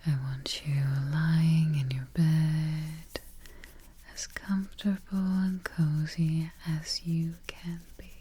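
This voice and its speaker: A woman whispering softly and breathily in a gentle, soothing manner, guiding a sleep relaxation as an ASMR host up close to the microphone. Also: deep voice, little pitch movement, a little hiss or hum. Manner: slow, gentle, soothing, repeats soft check-ins.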